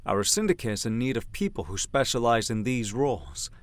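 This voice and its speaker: A man acting as a gruff, domineering, businesslike henchman of a crime syndicate in an audio drama. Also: medium pitch, sing-song, normal speed, lightly acted. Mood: probing, businesslike. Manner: blunt, domineering, no-nonsense.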